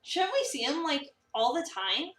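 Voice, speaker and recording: A woman's voice: high-pitched, sing-song, normal speed, a bit bright, soft background music. A woman voicing a curious, casual young newcomer at a cafe in a visual novel. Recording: echoey room, distant mic.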